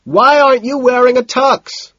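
A man voicing a cocky, glad-handing male socialite in an audiobook, with loud, breezy shouts. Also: extremely high pitch, fairly even intonation, normal speed, no room sound, dull audio, voice pushed up. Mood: breezy shouting.

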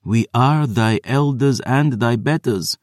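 A man's voice: mid-range voice, slow pace, a little mellow, dry recording, clean studio sound, voice pushed up, lightly acted. Man putting on ancient scornful vampire sisters. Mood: contemptuous. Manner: archaic, haughty speech. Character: twin ancient female vampire sisters, archaic, contemptuous.

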